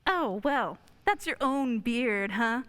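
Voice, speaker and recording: A woman's voice: fairly high pitch, animated, slow, a bit bright, clear, resonant tone. A woman playing a nervous, pompous, irritable male personnel examiner in a radio play, with an officious, snappish way of talking. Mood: officious, suspicious.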